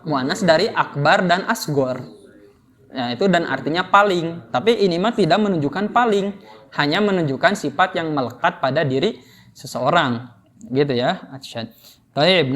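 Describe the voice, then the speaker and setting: A man with an Indonesian accent teaching Arabic grammar informally, explaining and prompting students with questions. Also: on the high side. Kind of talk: interactive lesson, explaining and quizzing students.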